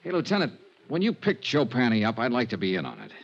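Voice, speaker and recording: An American actor playing a tough, wry insurance investigator in a 1950s radio detective drama, with clipped, terse, dramatic delivery. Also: male voice, medium pitch, very animated, quick pace, slight background noise. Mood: wary, terse. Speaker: lead actor narrating as investigator. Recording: muffled old radio recording, music behind.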